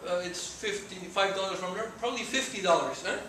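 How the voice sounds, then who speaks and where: A man's voice: high voice, fairly quick, sharp tone. A man in his forties from Mexico with a Mexican accent, giving an earnest talk to students in a lecture room. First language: Spanish. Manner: earnest, gesturing while speaking. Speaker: guest speaker. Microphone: far camera. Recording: echoey room from far off, noisy.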